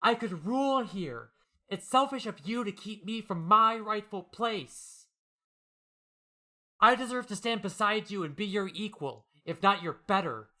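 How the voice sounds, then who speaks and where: A man's voice: sits high, expressive, unhurried, sharp tone, pitched above the performer's own voice, heavily acted. A man voicing an angry, ambitious young female unicorn student in a comic dub, pleading and demanding in an entitled, dramatic way. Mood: demanding, desperate. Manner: indignant, demanding, dramatic delivery.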